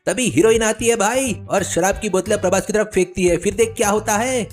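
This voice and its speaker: A pushy village braggart, acted out by a male storyteller, talks in a raised, high voice. He is a boastful idler in a story cartoon, always insisting and wheedling. Music plays behind him. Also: a little fast, lightly acted. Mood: insistent, excited. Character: boastful, idle village chatterbox man, pushy tall-tale teller.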